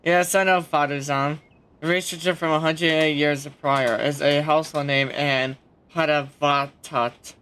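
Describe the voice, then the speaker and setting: A woman's voice: sits low, steady tone, unhurried, fairly bright tone, a little hiss or hum. A woman game streamer giving casual, blunt, sarcastic asides while reacting to a video game. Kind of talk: casual gameplay commentary.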